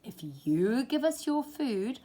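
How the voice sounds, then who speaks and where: A sly old fox from a storybook, put on by a woman. He flatters and entices like a swindler, his slightly husky voice swooping up and down. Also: sits high, takes it slowly, pitched above the performer's own voice, exaggerated voice. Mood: wheedling. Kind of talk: children's storybook character voice.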